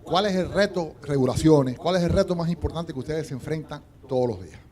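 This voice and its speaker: Male psychologist and author at a panel, middle pitch, very soft-edged dark timbre, clipped emphatic phrasing. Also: expressive, a little fast. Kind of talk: unscripted public question.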